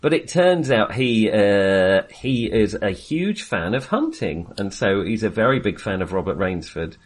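A man's voice: fairly low pitch, expressive, a little slow. A man chatting casually and enthusiastically about films as a podcast co-host, rambling and hesitating as he goes. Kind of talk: casual, chatty film discussion.

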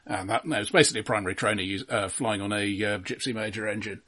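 A man's voice: fairly low pitch, fast talker, pushed and bright. A man co-hosting a film podcast, chatting casually in a hesitant, pedantic, detail-minded way. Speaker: film discussion podcast co-host.

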